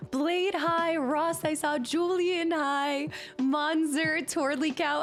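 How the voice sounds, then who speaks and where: A chatty woman streaming from her apartment and greeting her chat, slightly hoarse as she recovers from laryngitis. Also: high voice. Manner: chatty and upbeat, rattles off viewer names. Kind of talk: casual live-stream chat. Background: faint background music.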